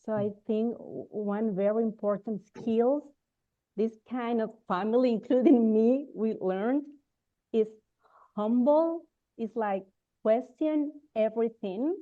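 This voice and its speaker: A woman's voice: on the high side, slow pace. A woman panelist speaking earnestly, repeating words for emphasis. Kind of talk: panel discussion. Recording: tinny phone-like line.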